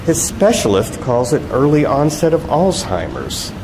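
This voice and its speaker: A man reading the part of a bright, sly, mock-polite woman television reporter in a novel. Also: on the high side, very animated, normal speed, voice pushed up. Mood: sly, needling. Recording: echoey hall, loud background noise.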